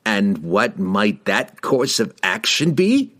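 A man voicing an arrogant, menacing wizard in a fantasy audiobook, slow and imperious as he presses his demands. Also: lowish voice, big pitch swings, a bit bright, full character voice. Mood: demanding.

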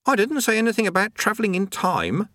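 Whiny teenage boy for an audiobook, done by a man: petulant, pleading, pitched high and strained, slow when he insists, recorded dry and close. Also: sing-song, sharp tone, dry recording, full character voice. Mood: frantic whining. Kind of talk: audiobook character voice.

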